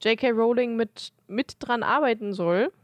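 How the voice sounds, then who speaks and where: A woman's voice: on the high side, unhurried, no echo, lo-fi sound. A woman co-hosting a podcast, chatting casually in a blunt, emphatic way. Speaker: podcast co-host. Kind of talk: casual chat.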